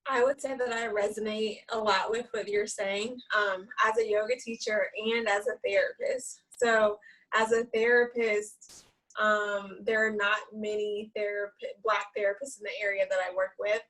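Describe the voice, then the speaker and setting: A woman's voice: medium pitch, level tone, normal speed, a bit bright, distant mic, poor audio. A woman who works as a therapist and yoga teacher, speaking candidly and from the heart on a discussion panel, repeating points for emphasis.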